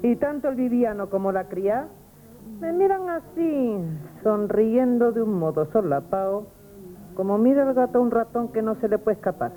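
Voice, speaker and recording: A woman's voice: mid-range voice, dark tone, close to an everyday voice. An actress with a Spanish accent playing a scheming, anxious, domineering working-class Madrid woman in a radio drama. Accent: Spanish, from Spain. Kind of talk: 1970s Spanish radio theatre acting. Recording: noisy old radio broadcast.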